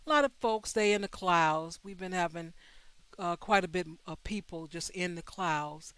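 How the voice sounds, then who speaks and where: A woman's voice: fairly low pitch, normal speed, a bit bright, clear, resonant tone, no echo. A woman hosting a livestream, talking in an easygoing, conversational way. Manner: easygoing, conversational, many fillers. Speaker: host. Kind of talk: casual livestream chat.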